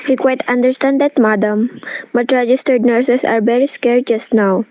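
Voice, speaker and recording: A woman's voice: fairly high pitch, fairly even intonation, moderate pace, darkish tone, dry recording, natural voice. A woman playing a polite, unruffled hospital receptionist in a radio play, formal and matter-of-fact.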